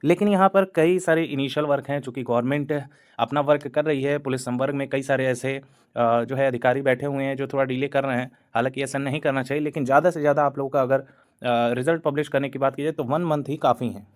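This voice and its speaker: A man's voice: mid-range voice, steady tone, fast. Hindi-speaking man explaining exam news. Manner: repetitive, hesitant fillers, Hindi-English mix. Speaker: exam coaching channel host. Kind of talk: explainer talk to camera.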